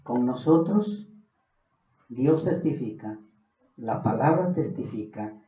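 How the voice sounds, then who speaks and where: A man's voice: mid-range voice, expressive, slow. A man with a Mexican accent preaching a Bible study sermon, earnest and building to fervent emphasis. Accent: Mexican Spanish. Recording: distant, dull, echoey room.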